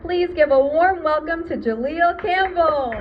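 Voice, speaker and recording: Woman emceeing a ceremony, earnest measured speech, kinda muffled with a bit of noise behind her. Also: very high voice. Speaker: event MC. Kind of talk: rousing prepared ceremony speech. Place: public ceremony. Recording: muffled event recording with background noise.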